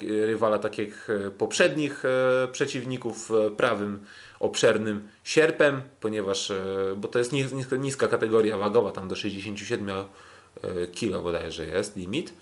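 Low-voiced, halting man recapping fights. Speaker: sports podcast host. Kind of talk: podcast commentary recapping kickboxing fights.

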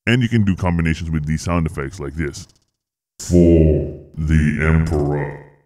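A man demonstrating a deep, ominous character through a pitch-lowering voice changer. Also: measured pace, warm tone. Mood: ominous demo.

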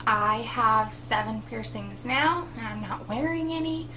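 A woman's voice: fairly high pitch, measured pace, sharp tone, slight background noise, low-quality recording. A woman in her twenties chatting casually to a webcam in a small room, full of teasing jokes. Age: 20s. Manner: teasing, joking asides. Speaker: co-host. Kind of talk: casual chat. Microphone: webcam at desk distance.

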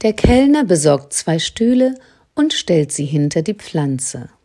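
A woman's voice: on the low side, big pitch swings, measured pace, dark tone. A woman reading scripted narration as the narrator of an audio drama.

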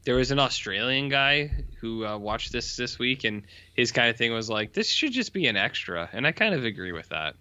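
A man's voice: mid-range voice, sing-song, normal speed, hardly any pauses, pushed and bright, smooth, no room sound, dull audio. A man co-hosting a podcast, chatting casually and teasing playfully. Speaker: podcast co-host. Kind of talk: casual banter.

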